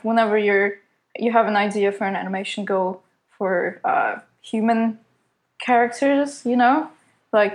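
Woman, young adult, non-native English speaker, animator, casual interview, hesitant, many fillers. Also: medium pitch. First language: not English. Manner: hesitant, lots of "kind of" fillers. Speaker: animator at a studio.

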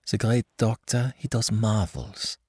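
A man's voice: lowish voice, steady tone, unhurried, a little mellow, breathy edge, no echo, clean studio sound, voice pushed up. A man reading an audiobook, voicing a young émigré mother who gushes and slips French phrases into her English. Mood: gushing. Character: anxious, effusive young émigré mother, woman.

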